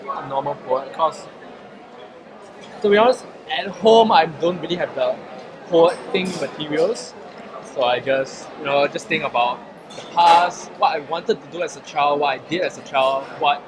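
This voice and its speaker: A man's voice: on the high side, moderate pace. Young Singaporean man, noisy food court. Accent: Singaporean English. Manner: hesitant, rambling, many fillers and restarts. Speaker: poet, interview guest. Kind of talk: casual interview chat.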